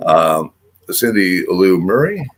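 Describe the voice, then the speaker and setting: A man's voice: sits low, very animated, takes it slowly, faint music behind. An older man hosting a casual radio show, rambling and full of filler words and restarts. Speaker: host.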